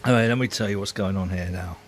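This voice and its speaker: A man's voice: deep voice, fast, slight background noise. A man, rambling casually and self-mockingly while filming his home brewery workshop on a handheld phone.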